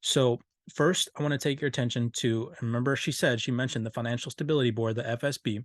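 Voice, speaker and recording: A man's voice: medium pitch, fairly even intonation, quick pace, dry recording. A male host breaks down a finance clip for his viewers, leaning on stock phrases and stressing key words. His voice sits in an ordinary middle range. The sound is dry and close, with no room around it. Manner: emphatic, repeats stock phrases. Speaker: crypto channel host. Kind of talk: video breakdown commentary.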